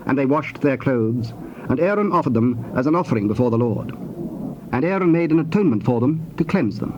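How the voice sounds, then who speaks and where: A man narrating dramatized scripture in a formal, measured manner. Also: mid-range voice, darkish tone. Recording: dull, noisy cassette tape.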